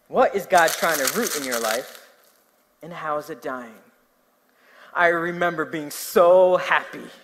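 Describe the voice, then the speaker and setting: A young man from New Jersey with a New Jersey accent, preaching a sermon, telling stories and asking his listeners questions. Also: fairly high pitch. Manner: animated storytelling, asking the audience questions. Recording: long hall reverb.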